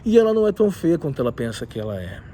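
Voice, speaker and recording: A man with a cold, talking in an animated, opinionated way with many asides in a casual vlog, recorded in a rooftop leisure area. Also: on the high side, very fast, a little hiss or hum. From southern Brazil. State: has a cold. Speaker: video host.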